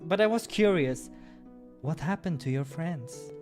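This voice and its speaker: A man's voice: fairly high pitch, lively intonation, a little slow, a little mellow, faint music behind, voice pushed up. A man voicing an earnest young male adventurer who is polite, curious and emphatic.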